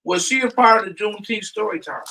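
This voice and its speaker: A man talks loudly and emphatically, rambling on as co-host of a casual community show. His voice is high and very bright. He sits a little back from the microphone. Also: quick pace, distant mic, rough recording.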